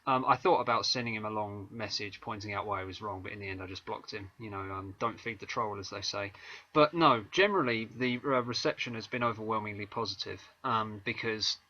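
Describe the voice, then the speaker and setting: A man's voice: lowish voice, a little fast, pushed and bright. A man with an English accent, a guest musician chatting in an interview, talking with frequent ums and restarts. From England. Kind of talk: casual interview chat. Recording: dull lo-fi video call audio.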